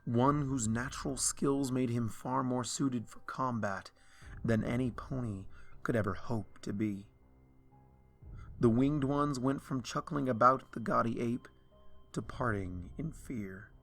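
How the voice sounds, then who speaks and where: Male reader for dark fiction, unhurried, hushed, over soft music. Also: medium pitch, creaky. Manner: slow, hushed, brooding delivery. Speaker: fanfiction reader. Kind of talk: dramatic story reading.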